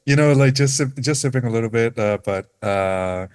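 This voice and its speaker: A man's voice: medium pitch, moderate pace, a little mellow, smooth. A man introducing himself on a casual video-call panel, laid-back and slangy, often stumbling and repeating words. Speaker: developer advocate, panelist.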